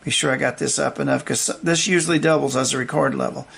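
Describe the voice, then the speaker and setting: An older man giving a casual, unscripted demonstration of vintage gear, talking in a folksy, halting, rambling way. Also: medium pitch, steady tone, vocal fry, fairly bright tone, husky.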